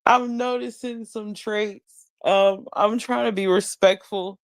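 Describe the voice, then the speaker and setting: A woman hosting a late-night livestream, talking bluntly and profanely, musing slowly with many restarts while high. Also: steady tone, dry recording. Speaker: livestream host. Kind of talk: late-night casual commentary. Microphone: streaming mic.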